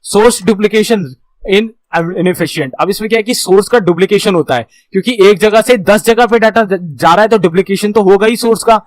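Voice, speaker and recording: A man's voice: sits high, moderate pace. A man giving an explanatory lesson as an instructor, in a didactic, repetitive way, often checking that listeners follow. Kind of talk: explanatory computer networking lesson, reading notes and explaining them.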